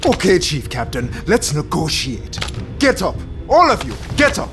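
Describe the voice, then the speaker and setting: Casting: armed pirate captain, high-pitched for a man, wildly animated, taunting and explosive, over music. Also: unhurried. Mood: menacing, taunting. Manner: commanding, taunting, explosive when crossed. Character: menacing male pirate captain, volatile armed hijacker. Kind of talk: audio drama acting.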